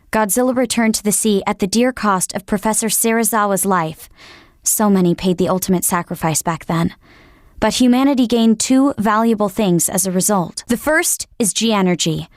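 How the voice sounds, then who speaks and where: A woman's voice: medium pitch, no echo, studio quality, natural voice. A woman playing an earnest, dutiful military operator in a video game, speaking formally. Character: earnest female military operator briefing her commander. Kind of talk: scripted video game voice acting.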